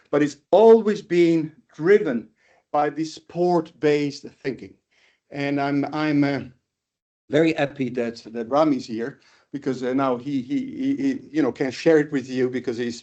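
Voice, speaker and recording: A middle-aged man from Italy with an Italian accent gives an informal academic seminar talk as a professor, speaking haltingly and repeating words as he thinks. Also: medium pitch, dark tone. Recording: dull, lo-fi lecture audio.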